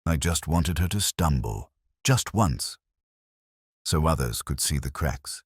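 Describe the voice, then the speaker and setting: A man's voice: very deep voice, animated, takes it slowly, breathy edge, dry recording, studio quality, full character voice. A man voicing an uneasy, evasive woman in a mystery story, hesitant and defensive as a suspect. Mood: guilty, resentful. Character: uneasy, evasive woman confessing to a petty scheme.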